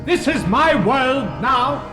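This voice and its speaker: An actor playing a defiant male prisoner who refuses to leave, speaking in a slow, brooding, unhinged way. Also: very high-pitched, expressive, exaggerated voice. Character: defiant male prisoner clinging to his village. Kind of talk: fan audio drama acting. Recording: echoey with music behind.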